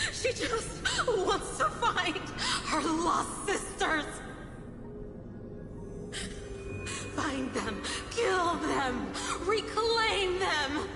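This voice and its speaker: A woman's voice: extremely high pitch, very animated, very slow, heavily acted. A woman voicing a terrified, broken memory thief in a video game, pleading and distraught as she slides into madness. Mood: unhinged, mad. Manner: pleading, distraught, unhinged delivery. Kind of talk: dramatic video game voice acting. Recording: echoey long reverb, music behind.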